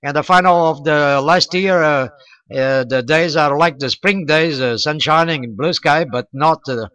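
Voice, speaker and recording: A man with a Portuguese accent speaks in a very clear, slightly high voice. His English is halting and courteous, in the style of an amateur radio contact. The sound is close and dry. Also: sharp tone, dry recording. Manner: halting, non-native English with courteous sign-offs. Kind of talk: casual radio contact chat.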